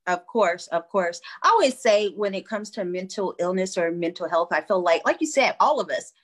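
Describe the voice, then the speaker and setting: A woman's voice: fairly low pitch, animated, normal speed. A middle-aged woman hosts an interview over a home webcam. She is chatty and encouraging, often laughing along with her guest. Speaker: host. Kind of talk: casual video call interview. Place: living room with fireplace, indoors. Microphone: video call webcam.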